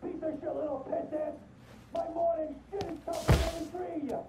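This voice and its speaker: High, defiant captive American mobster. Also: male voice, fairly even intonation, normal speed, creaky. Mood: furious, taunting. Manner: belligerent, taunting. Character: defiant, foul-mouthed captive male mobster taunting captors. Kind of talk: shouted game dialogue. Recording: distant, echoey, noisy game scene.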